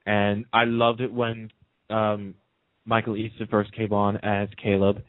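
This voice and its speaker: Low-voiced man on a phone line. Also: monotone, a little slow, no echo. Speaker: radio show guest. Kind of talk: casual chat. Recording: muffled narrowband telephone line.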